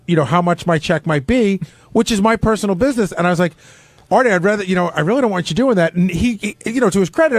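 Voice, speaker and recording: A man's voice: sits high, no room sound. A man co-hosting a radio show, talking casually and off the cuff as he explains himself. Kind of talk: casual unscripted radio talk.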